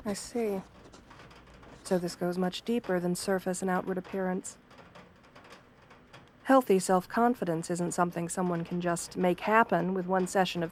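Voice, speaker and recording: A woman voicing a sweet, professional wolf-girl therapist in a soft-spoken ASMR roleplay, speaking in a gentle, measured, reassuring way. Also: lowish voice, slight background noise, close to an everyday voice.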